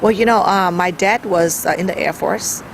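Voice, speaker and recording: Gravelly-voiced interviewee from Vietnam. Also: mid-range voice, moderate pace, noisy background. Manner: rambling, thinks aloud. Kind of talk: oral history interview.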